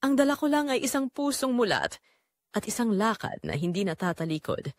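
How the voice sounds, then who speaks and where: Casting: female Filipino audio drama narrator, earnest and emotive, animated pitch, close dry recording. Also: a little fast, no room sound. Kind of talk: scripted first-person dramatic narration.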